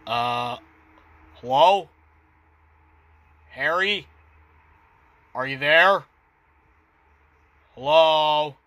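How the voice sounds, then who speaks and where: A man playing a chatty doctor on a casual, awkward phone call in an amateur short film, talking in a halting, matter-of-fact way. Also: on the high side, lively intonation, bright, forceful tone, a little hiss or hum, full character voice. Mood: casual, explaining. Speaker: amateur voice actor.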